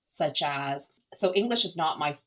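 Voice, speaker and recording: A woman's voice: sits low, expressive, measured pace, a bit bright. A middle-aged woman from Canada whose first language is Cantonese, speaking earnestly and reflectively as a podcast guest, with hesitations and restarts. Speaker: podcast guest, nonprofit founder. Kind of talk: candid conversational interview.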